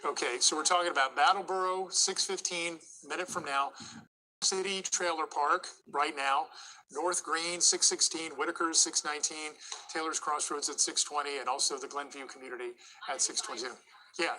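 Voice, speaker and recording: A man's voice: on the high side, steady tone, moderate pace, pushed and bright, slight background noise, poor audio. A man giving live severe weather commentary as a TV forecaster, urgent and explanatory, going through the situation place by place.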